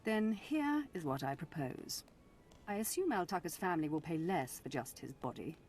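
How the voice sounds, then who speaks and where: A woman's voice: sits low, lively intonation, normal speed, a little mellow, faint music behind. A woman playing a condescending, controlling noblewoman in a video game, with disdainful aristocratic drawl and endearments. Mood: cold, imperious.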